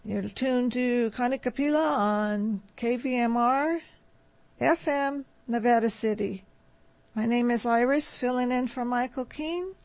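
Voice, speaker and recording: Unhurried high-voiced woman radio host. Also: no echo. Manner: relaxed, steady back-announcing. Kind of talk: radio announcing and sponsor reads. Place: radio studio. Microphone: studio microphone.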